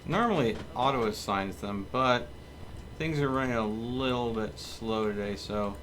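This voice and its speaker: A man giving casual live commentary as he plays a game, thinking aloud in an unhurried way. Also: medium pitch, a bit bright. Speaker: game streamer. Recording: clear background noise.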